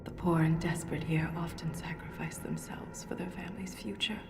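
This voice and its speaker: A woman as an earnest dwarf scholar, low echoing voice. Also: steady tone, fairly quick, whispered, lightly acted. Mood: grieving, solemn. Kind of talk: video game dramatic dialogue. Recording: roomy echo, music behind.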